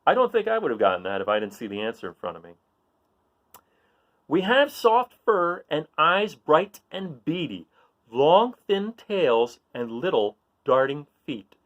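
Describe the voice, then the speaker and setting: A man's voice: a little high, sing-song, measured pace, highs cut off. A man hosting a children's read-aloud, reading a picture book and chatting in an encouraging way, often putting questions to his listeners.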